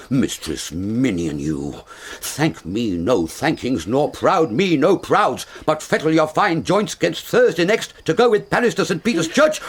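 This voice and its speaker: A man's voice: a little high, slightly rough, exaggerated voice. An actor playing a furious, domineering older nobleman father in a Shakespearean radio drama, with a British accent and explosive, theatrical delivery.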